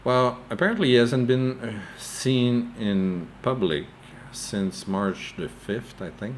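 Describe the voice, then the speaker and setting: A man's voice: mid-range voice, slow, slight background noise. A man co-hosting a casual chat over a video call, hesitant and tentative, restarting his sentences often. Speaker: co-host.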